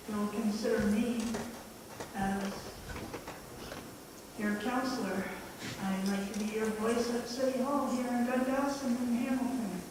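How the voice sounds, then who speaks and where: A woman's voice: mid-range voice, distant mic. A woman running for city council, giving a plainspoken campaign speech with hesitant fillers. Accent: Canadian. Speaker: council candidate. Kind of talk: campaign speech at a public meeting. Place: large hall at a candidates meeting. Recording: echoey hall, mic from afar, faint hum.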